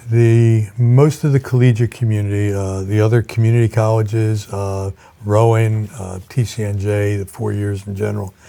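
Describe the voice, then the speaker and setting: An older man speaking as a college president in an interview, reflective and measured, with halting pauses. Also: lowish voice, warm tone.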